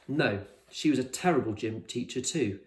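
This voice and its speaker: A man voicing a prickly, haughty young girl with a brisk, dry manner in a storybook, in an echoey room. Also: medium pitch, expressive, natural voice.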